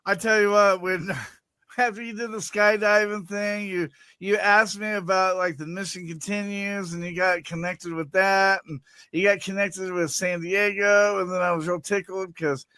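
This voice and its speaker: High, bright stammering man host. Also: fairly even intonation, moderate pace, clean tone, no room sound. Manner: stammering, loose, swears casually. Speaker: show host. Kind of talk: casual podcast chat.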